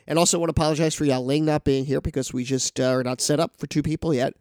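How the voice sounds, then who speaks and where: A man's voice: a little high, steady tone, quick pace, darkish tone, no room sound, clean studio sound. A man with a nasal, congested voice from a cold, hosting a casual news talk show and rambling with many restarts. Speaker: podcast host.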